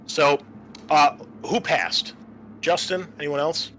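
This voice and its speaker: A man working as game master, narrating a tabletop horror game in a halting, explanatory way. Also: on the high side, pushed and bright, faint music behind.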